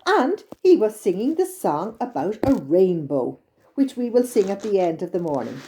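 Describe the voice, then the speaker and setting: A woman's voice: mid-range voice, big pitch swings, moderate pace, warm tone. A woman with an Irish accent narrating a children's storytime expressively, exclaiming and repeating things for young listeners.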